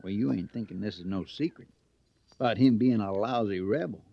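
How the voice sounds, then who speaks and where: An actor playing a bigoted, needling ex-convict army recruit in an old radio western, with a folksy, ungrammatical drawl. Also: male voice, lowish voice, brisk, gravelly, dry recording. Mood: bitter, needling. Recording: muffled vintage radio recording.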